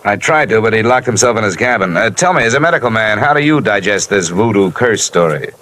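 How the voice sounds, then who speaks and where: An actor playing a wisecracking insurance investigator who narrates a 1950s radio drama in a dry, hard-boiled way. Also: male voice, on the low side, lively intonation, quick pace, a bit bright, slight background noise. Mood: wry. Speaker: narrator and lead.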